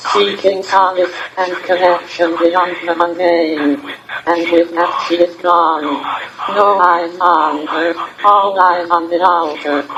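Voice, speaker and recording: Man voicing a creaky, sinister horror narrator. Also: high-pitched, background noise, heavily acted. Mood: menacing. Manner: slow, ominous recitation. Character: sinister unseen captor narrating eerie chapel verse. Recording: dull lo-fi radio-like sound, background din.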